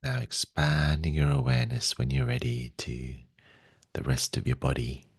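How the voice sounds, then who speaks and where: A man leading a guided meditation in a gentle, soothing way, speaking slowly and softly with long pauses. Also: extremely low pitch, sing-song, vocal fry, breathy edge, no echo, clean studio sound.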